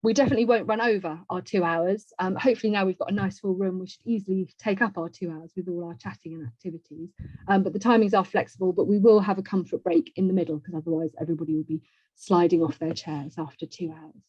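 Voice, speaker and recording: A woman's voice: lowish voice, steady tone, quick pace. A woman hosting an online webinar, talking through informal housekeeping in a chatty, organised way over a video call. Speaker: webinar host. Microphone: video call microphone. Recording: roomy, dull video-call audio.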